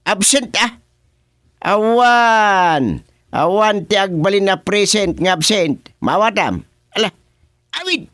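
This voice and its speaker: A dismissive, officious village chairman in an Ilocano radio comedy. His voice sits fairly high for a man and comes in slow, scolding phrases with an Ilocano Filipino accent. The sound is dry and close. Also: lively intonation, fairly bright tone, no room sound, heavily acted. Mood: stern, scolding. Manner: scolding, mixes in English, repeats words for emphasis. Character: stern, dismissive barangay village chairman, comic.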